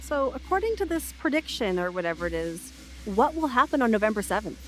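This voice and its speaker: Woman playing a higher-voiced, curious radio host. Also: big pitch swings, fairly quick, a bit bright, rough recording. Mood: curious, wry. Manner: friendly, inquisitive, wry. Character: curious, chatty female Canadian radio show host. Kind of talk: audio drama acting.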